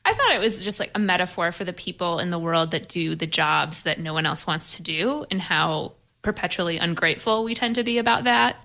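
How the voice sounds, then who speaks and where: Very bright-voiced woman in discussion. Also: lowish voice, brisk, vocal fry, hardly any pauses. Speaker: panelist asking questions. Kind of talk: casual film discussion.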